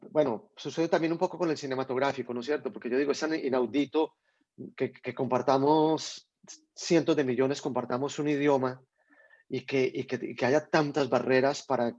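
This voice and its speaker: A man's voice: medium pitch, fairly even intonation, moderate pace, darkish tone, dry recording. A Colombian man, a writer, talking thoughtfully on a video call, often restarting and repeating words. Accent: Colombian (Medellín). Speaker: guest writer. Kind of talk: hesitant conversational talk on a video call. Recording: dull lo-fi video call audio.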